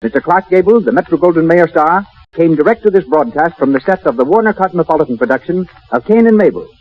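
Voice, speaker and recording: A man's voice: a little high, animated, quick pace. A man making formal announcements in the style of 1930s American radio. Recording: dull old 1930s radio broadcast.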